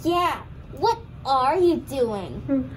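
Young woman playing snooty puppet, high voice, lively pitch, dismissive, faint background noise. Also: extremely slow, heavily acted. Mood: scornful. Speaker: student puppeteer. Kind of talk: scripted puppet show acting.

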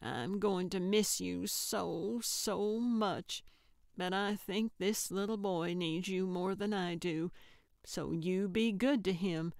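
A woman's voice: lowish voice, slow, creaky, no room sound, clean studio sound. A woman voicing a feisty, sentimental older woman with a blunt, plainspoken manner in an audiobook. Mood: tender. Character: feisty, sentimental older woman who loves her cat. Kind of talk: audiobook character voice.

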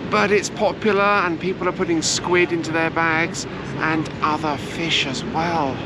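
A middle-aged woman presenting a walking-tour travel vlog to a camera held at arm's length. She talks in a chatty, meandering way with frequent hesitations. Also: medium pitch. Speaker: travel vlogger. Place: outdoors in sunny city squares and streets. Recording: outdoor street noise and traffic.

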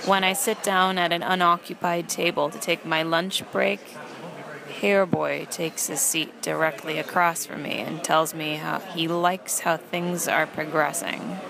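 A woman playing a sardonic young fast-food trainee who narrates an audio play in a dry, deadpan way. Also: low voice, gravelly, a crowd in the background. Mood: dry, unimpressed.

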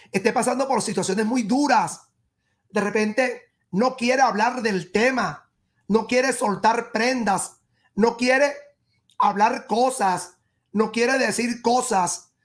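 A woman with a somewhat high voice reads tarot for the viewer as a horoscope host. She speaks in short, emphatic phrases. She often restates a point in slightly different words. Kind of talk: spoken tarot reading addressed to the viewer.

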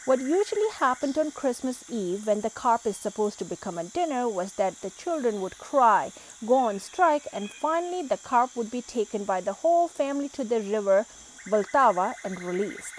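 A woman reads a children's picture book aloud in a slightly high voice. She is expressive and grows animated on the exclamations. A faint hiss sits under the recording. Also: poor audio. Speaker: storybook reader.

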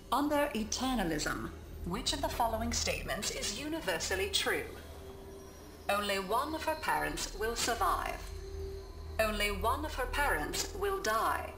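An unhurried female teaching program from a sci-fi game, formal and measured. The voice echoes over clear background music. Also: mid-range voice. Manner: measured, instructive. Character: calm female computerised lesson narrator. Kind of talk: scripted educational narration.

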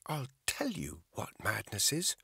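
A man's voice: fairly low pitch, huge pitch swings, a little slow, vocal fry, pushed and bright, husky, dry recording, clean studio sound, pitched above the performer's own voice, full character voice. A man voicing a volatile, dangerous woman who hisses and whispers her scorn. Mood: menacing.

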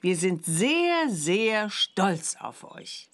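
A woman playing a kind, proud witch mother in a cartoon game, gentle and rather formal. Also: mid-range voice, very animated, extremely slow, slight background noise, full character voice.

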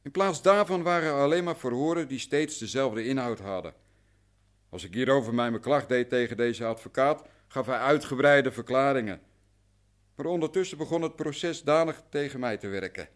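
Guy playing a long-suffering deferential man in a radio drama, kinda anxious, explains everything in detail, middle pitch. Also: lively intonation, normal speed, lightly acted. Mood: earnest, resigned. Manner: wordy, meticulous recounting. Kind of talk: radio drama acting in Dutch.

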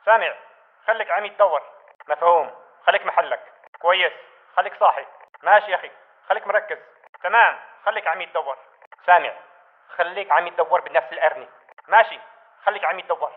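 A man's voice: high voice, fast talker, long pauses. A man with a Levantine Arabic accent playing a watchful, clipped insurgent sentry over a video game radio. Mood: alert, clipped. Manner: clipped, alert. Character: watchful male insurgent sentry reporting to comrades. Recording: narrow, tinny radio-like sound.

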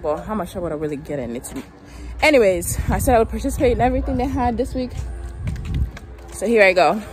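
A woman's voice: mid-range voice, lively intonation, fast, soft background music. A woman in her twenties chatting casually to her phone while walking outdoors, loose and a bit profane, full of fillers. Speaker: vlogger. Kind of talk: casual vlog chat. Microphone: phone at arm's length. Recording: loud street noise.

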